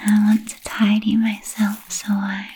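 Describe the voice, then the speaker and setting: A woman playing an ancient, refined, playfully macabre vampire in a whispered ASMR roleplay, speaking softly and formally with trailing pauses. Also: mid-range voice, monotone, low-quality recording. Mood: teasing, musing. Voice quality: soft breathy whisper. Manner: slow, deliberate, archly formal with trailing pauses.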